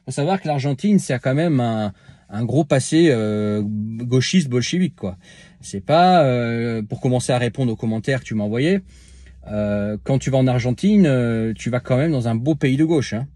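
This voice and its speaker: A man's voice: medium pitch, moderate pace, mellow, dry recording, clean studio sound. A man talking casually to camera as a vlogger, answering a viewer's comment with many filler sounds and restarts, speaking to the viewer informally.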